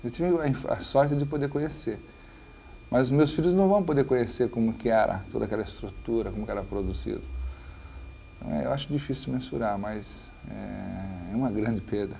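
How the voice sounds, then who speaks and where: Male Brazilian interviewee, medium pitch with strong fry, unscripted and searching for words. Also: fairly quick, mellow, a little hiss or hum. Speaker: urbanist interviewee. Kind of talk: spontaneous interview talk.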